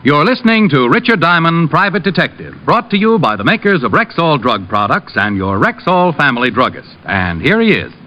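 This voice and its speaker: A man's voice: on the high side, sing-song, a little fast, hardly any pauses. A man announcing an old-time radio show in a scripted presenter style. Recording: muffled radio recording, loud music.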